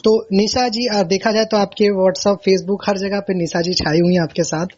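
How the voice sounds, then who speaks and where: A man hosting a conversational phone interview, effusive and repeating himself, with a husky, high voice for a man. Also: fairly even intonation, moderate pace, a little mellow, a little hiss or hum. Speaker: interviewer, radio-style host. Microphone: recorded phone call. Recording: dull, lo-fi phone call recording.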